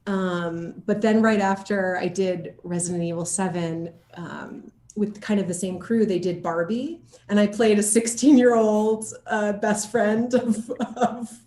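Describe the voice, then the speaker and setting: A woman's voice: mid-range voice, fairly even intonation, measured pace, few pauses, warm tone. A woman chatting casually as an interviewed actor, bubbly and giggly, with playful slang, recorded in a room at home. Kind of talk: casual chat in a video interview. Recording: echoey room.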